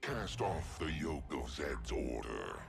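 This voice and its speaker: An actor voicing an ancient bloodthirsty demonic weapon spirit in a video game, gravelly, slow, menacing and theatrical, taunting and gloating. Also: male voice, very deep voice, sing-song, exaggerated voice. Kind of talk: video game character voice lines. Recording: music behind the voice.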